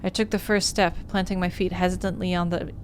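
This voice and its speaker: Lower-voiced woman streaming a story game. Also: flat delivery, a little fast, slight background noise. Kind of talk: livestream playthrough, reading visual novel narration aloud.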